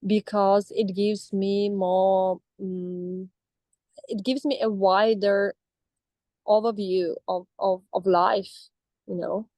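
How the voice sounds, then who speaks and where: A woman's voice: mid-range voice, level tone, takes it slowly, warm tone, no room sound. A young woman with a Russian accent, talking over a video call as a coaching client, hesitant with many fillers and restarts. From Astrakhan, Russia. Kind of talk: casual reflective talk.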